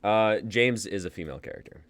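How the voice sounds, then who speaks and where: A man's voice: fairly low pitch, fairly quick, no room sound. A young man in his twenties hosting a live script reading, laughing easily and reading the stage directions. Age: 20s. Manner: laid-back, laughing, uses y'all. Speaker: host and playwright.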